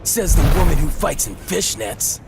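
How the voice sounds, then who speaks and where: A man's voice: a little high, very animated, measured pace, gravelly, heavy background noise, exaggerated voice. A man playing a cocky, eager young superhero in a video game, brash and boyish in his banter. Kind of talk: video game character dialogue. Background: loud music.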